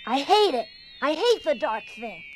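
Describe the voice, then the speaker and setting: High-voiced, earnest little boy. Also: sing-song, normal speed, crowd noise. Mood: fearful, intense. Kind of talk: audio dramatization acting.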